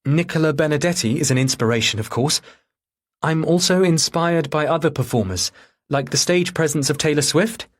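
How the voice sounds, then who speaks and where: A man's voice: a little high, normal speed, voice pushed up, lightly acted. A man voicing a polite, nervous young girl musician in an audiobook, with careful answers. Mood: stiff, rehearsed.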